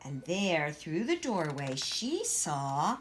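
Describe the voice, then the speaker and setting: A woman narrating a children's storybook as a scripted, expressive read-aloud. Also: low voice, slow, slight background noise. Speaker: storybook reader.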